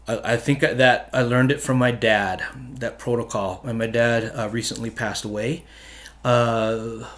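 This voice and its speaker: A middle-aged man from Hawaii talks earnestly about his work, with frequent restarts and trailing ends. He sits at a desk mic in a small studio room. His voice sits at an ordinary pitch for a man. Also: fairly even intonation, a little slow, fairly bright tone. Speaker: photographer guest. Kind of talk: casual interview answers. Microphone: desk mic on stand.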